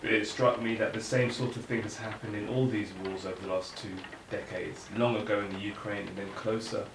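A man's voice: fairly low pitch, steady tone, fairly quick, lightly acted. An actor playing an earnest, reflective father facing climate upheaval in a naturalistic audio drama. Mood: anxious. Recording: roomy, distant, noisy room recording.

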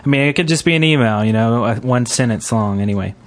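A man hosting a casual gaming podcast, talking in a halting, rambling way. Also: mid-range voice. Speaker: podcast host.

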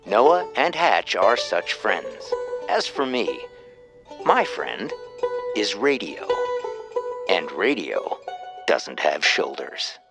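A folksy, wistful radio announcer with a lowish, very expressive voice. He drawls out theatrical musings slowly over plucked string music. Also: creaky, heavily acted. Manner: measured, philosophical, theatrical. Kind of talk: old-time radio host monologue.

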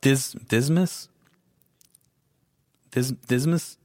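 A man's voice: medium pitch, very slow, a little mellow, dry recording, clean studio sound. A man recording voice lines who keeps flubbing and criticizing his own takes. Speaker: voice actor. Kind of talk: recording session outtakes.

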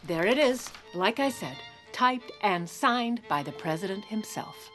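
A woman's voice: mid-range voice, animated, unhurried, a bit bright, faint music behind. An actress playing a wry, bossy First Lady with an American accent and a dry, sarcastic manner in an audio drama. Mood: sarcastic, commanding. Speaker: lead character and narrator. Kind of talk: audio drama acting and narration.

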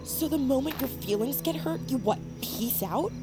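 A woman's voice: sits high, animated, normal speed, music in the background. A young woman playing an exasperated, accusing but loyal girl in an audio drama, indignant and dramatic. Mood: indignant, hurt.

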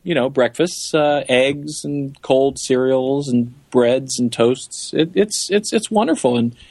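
A man's voice: mid-range voice, takes it slowly, a little mellow, no room sound. A man with a mid-range voice talks as an interview guest. He explains things eagerly and conversationally, like a guide who loves the job. The sound is dry, with hardly any room around him. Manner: enthusiastic, explanatory.

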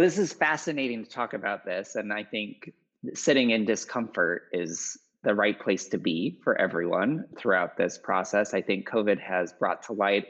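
Encouraging male host, dull lo-fi sound. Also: fairly low pitch. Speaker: podcast host. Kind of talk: conversational interview. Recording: dull lo-fi audio.

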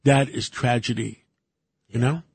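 An older Greek-born man, a radio co-host, who talks casually in halting bursts with many fillers and restarts. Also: medium pitch, fairly even intonation, breathy edge, no room sound. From Greece.

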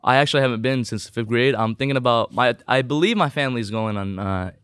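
A man of twenty-one with an American accent, a guest answering questions in a soft-spoken, modest way that trails off quietly. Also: medium pitch, fast, clean tone, no echo, clean studio sound. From Philippines, raised in Southern California. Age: 20s. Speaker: guest, pro gamer. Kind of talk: casual podcast interview.

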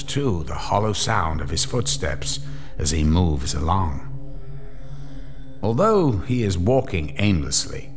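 A man narrating an old-time radio drama in a dramatic, suspenseful storytelling manner. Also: low-pitched.

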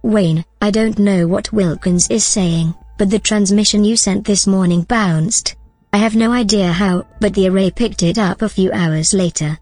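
A woman playing a female AI companion in a sci-fi audio drama, with a medium-pitched voice. She is protective and secretive, giving earnest, urgent cautions. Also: steady tone, moderate pace, a little mellow, no room sound, faint music behind, natural voice. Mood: worried. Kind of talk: science-fiction audio drama acting.

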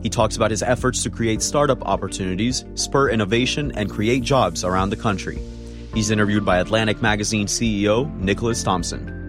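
A man announcing a podcast episode in a measured, scripted way. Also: lowish voice. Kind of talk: scripted intro narration. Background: guitar music.

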